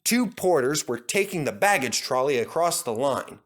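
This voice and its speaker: Animated male children's storyteller. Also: on the high side, normal speed. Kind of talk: scripted children's story narration.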